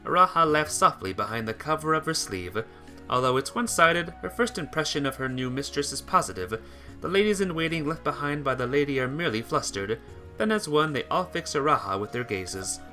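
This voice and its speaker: A man narrates a visual novel in an informal amateur read-aloud. His voice is bright and slightly high, with lively intonation, and he trips over words now and then. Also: a little fast, soft background music. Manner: stumbles over words and rereads phrases.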